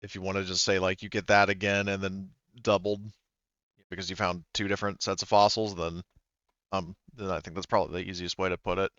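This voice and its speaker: A man running a tabletop game, explaining rules in a relaxed, easygoing way. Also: sits low, a little fast, no room sound. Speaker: game master. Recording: dull lo-fi mic.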